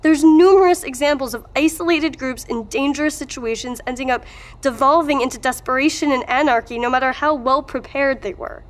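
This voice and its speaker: A high-pitched female voice from an actress in an audio drama. She plays a worried skeptic, earnest and questioning, quick to argue her point. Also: lightly acted. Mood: insistent. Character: skeptical, worried woman pressing for answers.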